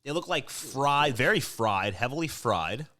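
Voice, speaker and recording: A man's voice: medium pitch, lively intonation, a little slow, noisy background. A man co-hosting a podcast in casual snack-tasting chat, informal and given to repeating short phrases. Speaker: podcast co-host.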